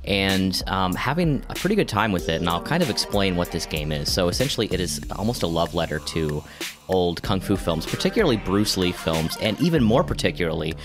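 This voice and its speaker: A man's voice: deep voice, sing-song, brisk, over music. A man co-hosting a podcast, explaining things in casual, conversational talk. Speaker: podcast co-host.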